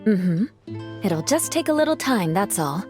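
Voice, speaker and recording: A woman's voice: medium pitch, big pitch swings, measured pace, over music. A woman playing a proud, reserved young knight captain in a video game, composed, formal and matter-of-fact.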